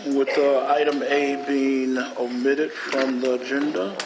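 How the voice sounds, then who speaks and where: A man chairing a city council meeting, moving briskly through procedure. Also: mid-range voice, steady tone, background noise. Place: council chamber.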